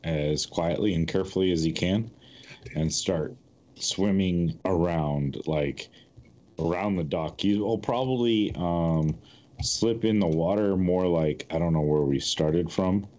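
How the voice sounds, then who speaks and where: Low voiced guy at a tabletop game, slowly piecing together what his character does, sound a bit dull. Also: a little mellow. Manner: hesitant, piecemeal phrasing. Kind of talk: casual third-person description of character actions. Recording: slightly dull, muffled sound.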